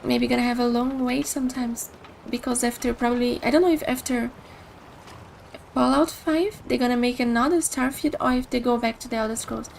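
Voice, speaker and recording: Brazilian-accented woman, young, high voice, a bit of fry, rambling casual gameplay chat. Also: steady tone, brisk, darkish tone, slight background noise, poor audio. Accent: Brazilian Portuguese. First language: Portuguese. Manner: chatty, rambling, thinks aloud. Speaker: streamer.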